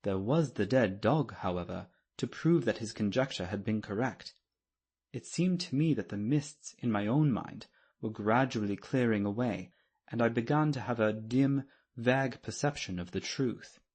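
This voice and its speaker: A man narrating a classic story in a measured, formal, scripted manner with a dramatic literary style. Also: mid-range voice, a little mellow, husky. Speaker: audiobook narrator.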